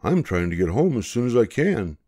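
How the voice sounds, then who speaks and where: A man's voice: mid-range voice, very animated, a little fast, mellow, voice pushed up. A man voicing a young, earnest male polar bear cub in a children's storybook, innocent and eager.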